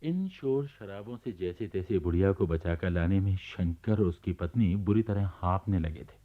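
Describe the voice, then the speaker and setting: A man playing the reflective narrator of a radio play, telling the story in a measured, sympathetic way. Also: low voice, expressive. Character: reflective male narrator, sympathetic observer of grandmother. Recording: tinny, narrow-band archival radio recording.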